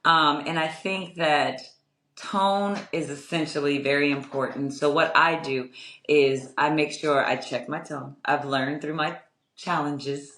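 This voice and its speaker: A young adult woman with a strong voice, answering interview questions in a measured, reflective way with many fillers. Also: fairly even intonation, a bit bright. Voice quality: strong, low-ish voice. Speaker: guest, construction company owner. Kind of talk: interview conversation. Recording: echoey room.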